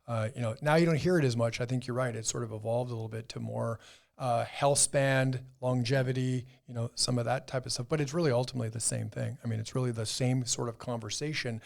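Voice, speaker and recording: A man's voice: medium pitch, fairly even intonation, quick pace, vocal fry. A man in his fifties co-hosting a health podcast as a doctor, chatting casually and explaining in a rambling, hedging way. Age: 50s. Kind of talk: casual conversational health discussion.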